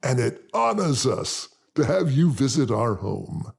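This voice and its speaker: A man voicing a solemn, welcoming elderly man in an audiobook, speaking deliberately in a raspy, gravelly voice. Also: mid-range voice, big pitch swings, full character voice. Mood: warm, welcoming. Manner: deliberate, measured. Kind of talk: audiobook character voice.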